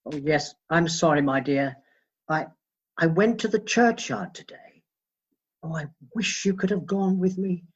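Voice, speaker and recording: Man acting husky, slow, grieving gentle father. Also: fairly high pitch. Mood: tender, apologetic. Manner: halting, tender, repeating words. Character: grief-stricken, gentle father, humble and apologetic. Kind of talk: radio drama acting. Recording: dull, muffled recording.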